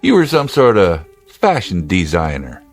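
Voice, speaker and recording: An actor playing a steady, plain-spoken state trooper in a radio drama, measured and dry in manner. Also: male voice, low-pitched, faint music behind, heavily acted. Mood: polite, probing.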